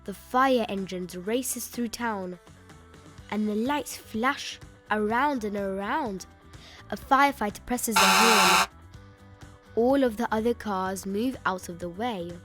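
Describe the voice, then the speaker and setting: A woman reading a children's picture book aloud in an expressive, emphatic way, stretching out key words. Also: medium pitch, takes it slowly. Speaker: children's story reader. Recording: music bed behind the voice.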